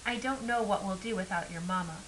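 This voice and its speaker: A woman's voice: medium pitch, brisk, a bit bright, pitched below the performer's own voice, close to an everyday voice, low-quality recording. Lady doing a serious earnest storybook dad, kinda subdued, little hiss. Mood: sorrowful. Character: grave, earnest widowed storybook father. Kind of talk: storybook character voice. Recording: clear background hiss.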